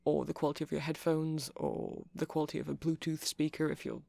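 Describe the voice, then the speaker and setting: A man playing a nerdy, friendly vampire shopkeeper in an ASMR roleplay, soft-spoken and gravelly, rambling hesitantly as he explains tech. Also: on the high side, fairly even intonation, brisk, dry recording. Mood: earnest, explanatory. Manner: rambling, hesitant, self-conscious geeky explaining.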